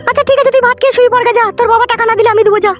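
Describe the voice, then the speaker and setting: A woman's voice: extremely high pitch, fairly even intonation, rapid-fire, soft background music. A woman playing a protective, nagging village mother, curt and bossy, in a comedy cartoon. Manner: indulgent to her son, curt and bossy.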